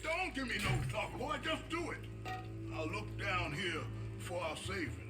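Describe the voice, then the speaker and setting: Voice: mid-range voice, big pitch swings, unhurried, recorded from a distance, over music. An actor playing a harsh, domineering parent in a Western video game, slurring and barking orders. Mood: bullying. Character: harsh, domineering, bullying Old West parent. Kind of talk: video game dramatic acting.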